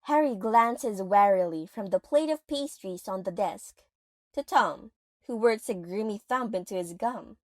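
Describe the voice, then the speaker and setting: A woman's voice: medium pitch, animated, a little slow, a little mellow, no echo. A woman reading scripted, dramatic third-person narration for an audio play.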